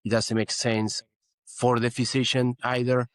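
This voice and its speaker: A man's voice: mid-range voice, little pitch movement, a little slow, smooth, no echo. A middle-aged man from Peru, a guest physician in an interview, explaining earnestly and often saying "you know". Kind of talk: interview conversation.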